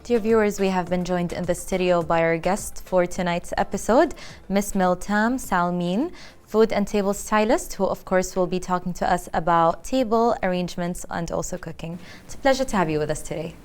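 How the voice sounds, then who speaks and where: Polite female TV host, lowish voice. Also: moderate pace, darkish tone, clean tone, soft background music. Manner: polite, encouraging with many back-channel sounds. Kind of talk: studio interview. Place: TV studio.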